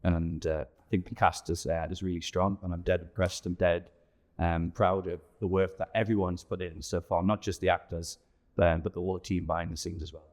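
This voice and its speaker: Low-voiced man. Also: level tone, brisk. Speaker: pantomime director and producer being interviewed. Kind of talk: casual interview chat.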